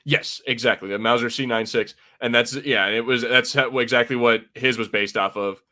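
Male, American, bright edgy tone at medium pitch. Casual, rambling fan-commentary co-host. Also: steady tone, moderate pace. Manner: rambling, informal. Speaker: podcast co-host reviewing a TV episode.

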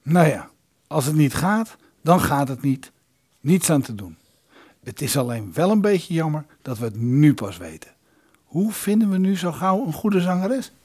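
A man's voice: on the high side, sing-song, measured pace, a little mellow, slightly rough, exaggerated voice. An actor in a radio play playing a resigned, plain-spoken male band musician. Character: resigned, matter-of-fact male bandmate musician. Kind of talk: Dutch radio play acting.

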